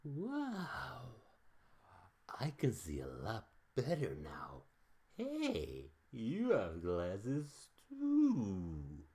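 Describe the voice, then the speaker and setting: Man acting out a slow, halting, dark-voiced skeptic. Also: medium pitch, wildly swooping pitch, husky, exaggerated voice. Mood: puzzled. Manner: halting, deliberate, theatrical. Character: Jim, slow-witted but suspicious, logic-minded bespectacled man. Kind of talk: amateur dramatic reading of own story.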